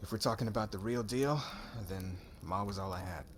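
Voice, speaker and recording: A man's voice: on the low side, fairly quick, background noise, close to an everyday voice. A man playing a cocky young warrior suitor in an ASMR roleplay, with a slangy, brash, guarded way of talking. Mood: guarded, wry.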